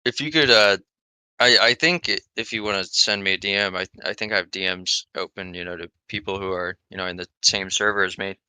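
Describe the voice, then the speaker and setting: Bright, crisp American guy on a voice chat. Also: sits low, fairly quick, no echo. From East Coast of the US. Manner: laid-back, swears, filler-heavy. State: up very early, tired. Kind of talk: casual voice chat.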